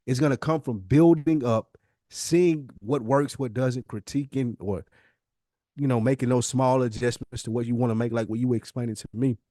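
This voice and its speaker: Middle aged american guy on a podcast, casual pep talk, mid pitch, really dry sound. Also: steady tone, normal speed, mellow, no echo. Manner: emphatic, repeats phrases for emphasis. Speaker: podcast co-host.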